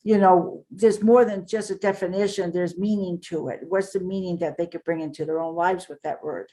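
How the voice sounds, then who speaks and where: An older woman speaks as the guest on an interview podcast, her voice sitting a little low. She tells her stories earnestly and in a rambling way, often pausing on fillers. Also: steady tone, dark tone, rough recording. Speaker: author and guest. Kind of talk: casual interview talk.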